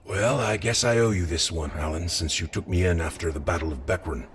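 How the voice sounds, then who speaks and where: An actor playing a proud, determined fallen warrior lord in a medieval fantasy game, speaking with terse self-assurance. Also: male voice, deep voice, brisk, noisy background, lightly acted. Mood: modest.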